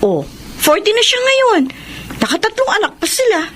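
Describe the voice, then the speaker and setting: A woman's voice: very high voice, huge pitch swings, moderate pace, a bit bright, exaggerated voice. A Filipino woman playing a vain, evasive career-minded wife in her late twenties, coy and faltering, in a radio drama. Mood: uneasy, defensive. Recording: noisy radio drama recording.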